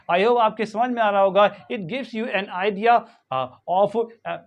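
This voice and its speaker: A man with an Indian accent teaching English pronunciation, spelling out words letter by letter and asking the viewer questions over and over. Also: high-pitched. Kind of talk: slow spoken lesson mixing Hindi and English.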